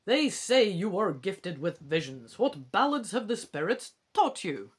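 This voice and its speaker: A man's voice: sits high, big pitch swings, unhurried, breathy edge, heavily acted. A reader voicing an imperious old king from a storybook, declaiming orders to a youngster. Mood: commanding. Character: old king, booming and commanding. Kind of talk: storybook character voice.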